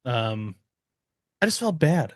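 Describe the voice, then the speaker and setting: A man's voice: medium pitch, very animated, slow, no room sound, studio quality. An American man chatting casually on a podcast, telling stories with blunt, swearing humor. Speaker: podcast host. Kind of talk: casual chat among friends.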